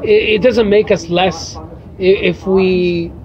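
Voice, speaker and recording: A man's voice: high voice, fairly even intonation, takes it slowly, a little mellow, lo-fi sound. A man, a poet and teacher, answering interview questions outdoors by a bar, thoughtful and hesitant with many fillers. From Brazil. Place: outdoors by a bar in light rain.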